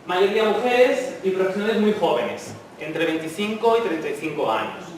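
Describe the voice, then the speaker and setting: A man's voice: sits high, expressive, moderate pace, far from the mic, slight background noise. A man speaking as a conference lecturer to students, in an informal way with frequent tag questions, inviting the audience to interrupt. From Spain. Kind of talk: university lecture talk. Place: lecture hall. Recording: echoey hall, voice from afar.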